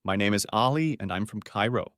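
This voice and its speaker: A man teaching beginner English, reading short model sentences clearly and saying each one twice. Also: fairly low pitch, fairly bright tone, no echo, studio quality. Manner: says each phrase twice, clearly and deliberately.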